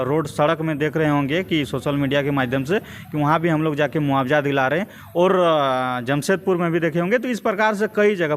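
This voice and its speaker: A young man with a Jharkhand Hindi accent answering as a political activist in an interview, in a measured, hesitant way with repeated phrases. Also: on the high side. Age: young adult.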